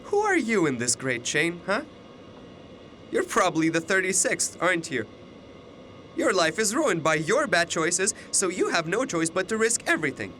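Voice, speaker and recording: A man's voice: high-pitched, sing-song, moderate pace, pushed and bright, slight background noise, heavily acted. A young man acting in a radio drama as a defiant, skeptical student, agitated and incredulous. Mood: accusing. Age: young adult.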